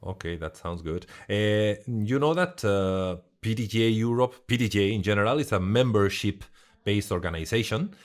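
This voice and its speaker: A man hosting an interview, asking long questions in a halting way and rephrasing as he goes. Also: fairly low pitch. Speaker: interview host.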